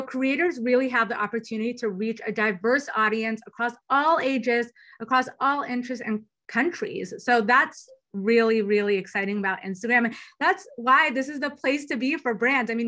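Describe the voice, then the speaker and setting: Woman in her 30s on a video call from her bedroom, super enthusiastic, bright tone. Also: on the high side. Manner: upbeat, enthusiastic, quick and lively. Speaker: platform executive guest. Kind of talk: keynote fireside chat over video call. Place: small bedroom indoors. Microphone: laptop webcam video call.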